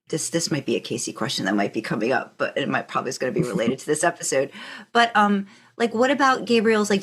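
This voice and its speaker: A woman hosting a podcast in casual, rambling, informal conversation. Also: on the low side. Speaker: podcast host. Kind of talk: casual interview chat.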